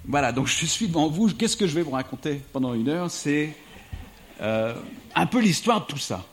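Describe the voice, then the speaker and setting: A man's voice: on the high side, big pitch swings, normal speed, a little hiss or hum. A middle-aged man from the United States, a diplomat and writer, speaking thoughtfully to a live audience in an evening talk. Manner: reflective, thinking aloud.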